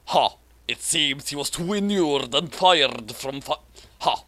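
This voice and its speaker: A man's voice: a little high, lively intonation, slow, pushed and bright, dry recording, heavily acted. A man voicing a gloating evil male villain in a theatrical read of a parody story.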